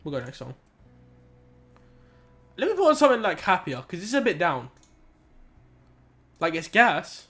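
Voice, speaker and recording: High-voiced young man streaming maths. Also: wildly swooping pitch, a little slow, long pauses, a bit bright, faint music behind. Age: young adult. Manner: laid-back, chatty slang, reads chat aloud and mutters while working. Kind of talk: casual live-stream chat while solving maths.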